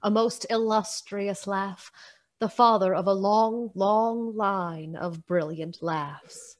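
A woman's voice: medium pitch, steady tone, takes it slowly, natural voice. A woman narrating a radio play as a scripted storyteller, expressively savoring each descriptive word.

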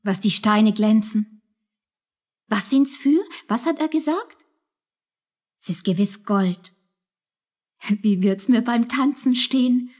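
Poor young soldier's girl in a radio play, high voice, slow, vain and guilty. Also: darkish tone. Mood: vain wonder. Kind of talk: radio drama acting.

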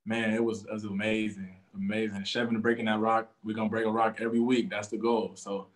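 A man's voice: fairly low pitch, little pitch movement, fairly quick. A young man, a college defensive back, answering press questions in an easygoing way, often saying "you know" and "man". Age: young adult. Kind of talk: post-game press conference answers.

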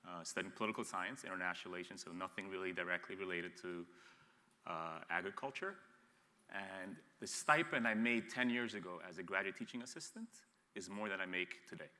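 A man's voice: deep voice, brisk, pushed and bright, long reverb tail. A man in his thirties who grew up in Ethiopia, speaking frankly as an entrepreneur on a panel, with frequent restarts. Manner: blunt, frank, with frequent restarts and repeated words. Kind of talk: candid panel talk. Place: studio stage set, seated in an armchair.

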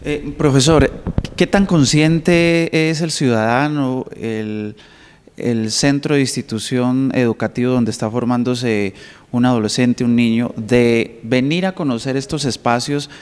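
A man interviewing for television asks long, winding questions in a mid-pitched voice. His voice carries a long reverb from the room around him. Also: steady tone, unhurried, clean tone.

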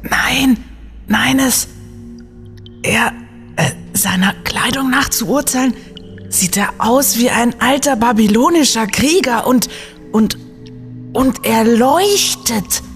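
A woman playing a curious, wary young student scouting ahead in an audio drama, with halting delivery. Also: on the high side, soft background music. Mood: tense.

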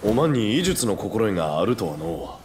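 A man's voice: fairly low pitch, normal speed, slight background noise. A man playing a hearty, impulsive young samurai with a rustic Tosa accent in a Japanese video game. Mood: friendly. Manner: rustic, familiar, expressive.

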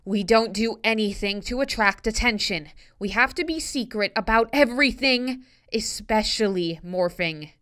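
Woman as a high-voiced, assertive teenage girl. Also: sing-song, moderate pace, hardly any pauses, a bit bright, no echo, studio quality. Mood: firm. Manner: firm, assertive. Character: Rachel, confident, responsible, bossy teenage girl. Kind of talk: audiobook character dialogue.

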